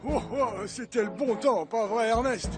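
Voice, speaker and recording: Un acteur qui joue un conducteur de train à vapeur jovial, bavard et enthousiaste dans un dessin animé pour enfants. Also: male voice, very high-pitched, a little slow, over music. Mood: cheerful, eager. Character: cheerful, chatty, eager male steam-train driver. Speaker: train driver. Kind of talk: animated children's cartoon dub.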